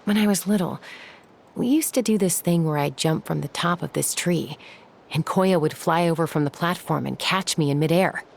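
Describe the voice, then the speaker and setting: An actress playing a self-assured young woman in a video game, casual and composed, with a slightly gravelly voice. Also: on the low side, normal speed, slight background noise. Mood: fond, relaxed. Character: self-assured young woman raised among wild birds.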